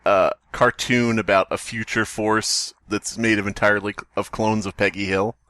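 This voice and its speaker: A man's voice: on the low side, level tone, normal speed, sharp tone, no echo. Joking male podcaster, dry close sound. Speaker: podcast co-host. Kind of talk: casual comedic banter and story reading.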